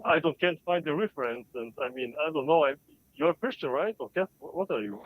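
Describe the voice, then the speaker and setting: A man from Albania with an Albanian accent calling in to a show, speaking in a hesitant, halting way. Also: on the high side, animated, dry recording. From Albania, Kosovo. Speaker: caller. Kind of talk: phone-in call. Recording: tinny dry phone line.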